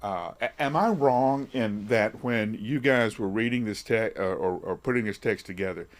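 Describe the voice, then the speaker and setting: A man hosting a casual interview, relaxed, dropping in short affirmations. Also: lowish voice, normal speed. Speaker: host, pastor.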